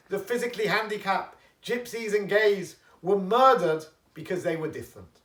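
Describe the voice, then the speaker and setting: A man speaking as a rabbi, giving a solemn, measured memorial address with an oratorical delivery. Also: sits high. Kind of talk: solemn speech and prayer. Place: echoey room, pre-recorded video. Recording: echoey room, slightly distant mic.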